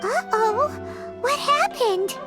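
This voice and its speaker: A woman voicing a tiny, childlike, curious fairy companion in an anime video game, squeaky and excitable. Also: slow, full character voice. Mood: startled. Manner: speaks of herself in third person, exclamatory. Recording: music behind the voice. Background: game music.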